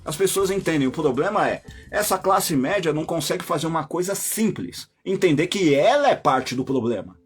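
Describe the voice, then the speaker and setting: A Brazilian man hosting a podcast, delivering an opinionated monologue straight to his listeners and jumping into high mocking voices when he quotes people. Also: animated, moderate pace, hardly any pauses, breathy edge, faint music behind. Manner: addresses listeners directly as "my dear". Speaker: podcast host.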